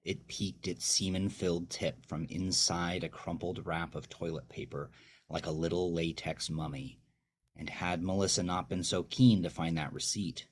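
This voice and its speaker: A man narrating dark fiction as a horror story host, with slow, brooding, deliberate dramatic delivery and a very deep, husky voice.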